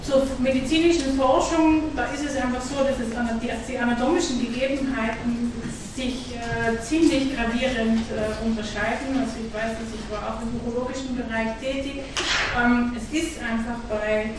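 A woman's voice: fairly high pitch, fairly even intonation, moderate pace. Female audience member, hesitant, distant echoey hall, noise. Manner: hesitant, many fillers.

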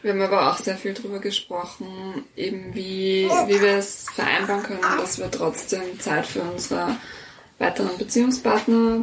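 A woman's voice: mid-range voice, vocal fry, background noise. A woman speaking conversationally as a guest in an interview.

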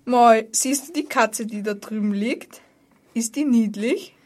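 A man's voice: very high voice, a little slow. A boy playing a bossy, bickering burglar in an amateur school radio play. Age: child.

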